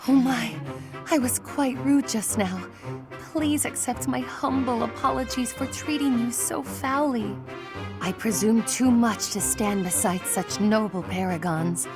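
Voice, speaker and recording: A super formal young noble mage lady, high pitched, always apologizing ornately, game music under it. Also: a little slow. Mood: abject, gloomy. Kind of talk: English video game voice acting.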